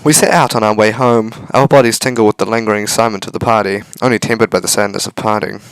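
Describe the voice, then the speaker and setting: Creaky, close-sounding man narrating a story. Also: lowish voice, fairly even intonation, fast talker, dry recording, rough recording. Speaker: let's-play host reading a visual novel aloud. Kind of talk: dramatic read-aloud narration with casual asides.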